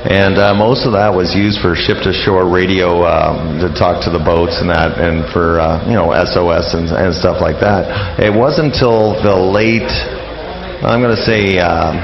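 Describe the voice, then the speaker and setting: A man's voice: deep voice, steady tone, unhurried, over music. A man talking casually as an interview guest, hesitant and rambling. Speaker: interview guest, old-time radio enthusiast. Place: live radio lounge with people coming and going. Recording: muffled, echoey room with busy crowd.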